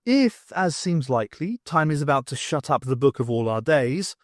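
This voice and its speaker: A man's voice: on the high side, sing-song, moderate pace, no room sound, studio quality. A man voicing a frail, pompous old history professor in an audiobook, florid and querulous. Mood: pompous, resigned.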